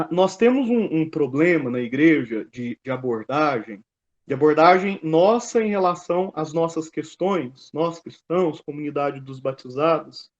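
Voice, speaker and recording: A male Brazilian priest speaks as a guest in a conversational, explanatory style. His voice is fairly high for a man, and the audio is somewhat dull and muffled.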